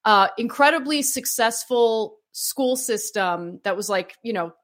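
A woman's voice: a little high, expressive, measured pace, fairly bright tone. A woman who grew up in Los Angeles with Armenian as her first language, hosting a show and reflecting with hesitant fillers. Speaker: host. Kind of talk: casual chat.

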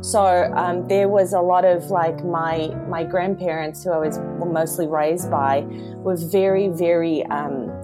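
A woman's voice: lowish voice, steady tone, measured pace, over music. A woman, a guest artist in a casual interview, laughing often and using lots of fillers. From Iceland, raised in Seattle.

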